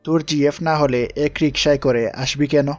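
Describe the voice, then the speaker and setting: A man's voice: a little high, fairly even intonation, moderate pace, dry recording, faint music behind, close to an everyday voice. A man playing a teasing young college buddy in an audio story, with pushy, slangy, mocking banter.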